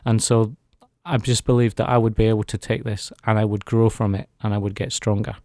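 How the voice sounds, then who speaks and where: A man's voice: lowish voice, level tone, brisk, warm tone, no echo, clean studio sound. A man speaking as a guest in a radio interview, giving an earnest, reflective personal testimony. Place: radio studio.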